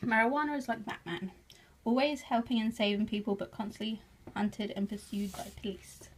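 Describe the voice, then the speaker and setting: Giggly English woman with a husky voice. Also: a little high, steady tone, moderate pace, poor audio. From England. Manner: chatty, giggly, self-deprecating. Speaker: craft vlogger. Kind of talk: casual show-and-tell narration.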